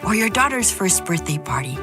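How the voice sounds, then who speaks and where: A woman's voice: medium pitch, very animated, a little fast. A woman narrating a scripted commercial as a voice-over. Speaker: advertisement narrator. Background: music.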